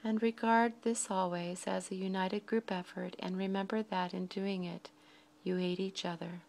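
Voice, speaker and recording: A woman leads a guided meditation in a soft, breathy voice, speaking slowly and deliberately in short phrases with frequent pauses. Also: medium pitch, level tone.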